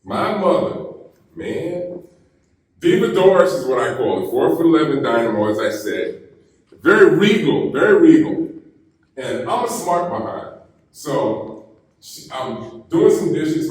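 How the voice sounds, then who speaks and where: A man's voice: medium pitch, sing-song, a little mellow, a little hiss or hum. Man giving a speech in an echoey hall. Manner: storytelling, slow deliberate delivery. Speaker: keynote speaker. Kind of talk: graduation keynote speech. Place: university ceremony hall. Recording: echoey hall, mic heard from a distance.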